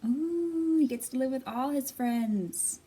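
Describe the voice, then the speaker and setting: A woman with a high voice hosts a storytime for small children. She takes her time, speaking expressively in simple phrases as she reads and leads action rhymes. She is recorded some way from the microphone. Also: slow pace, dark tone. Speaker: children's librarian and storytime host. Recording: distant microphone.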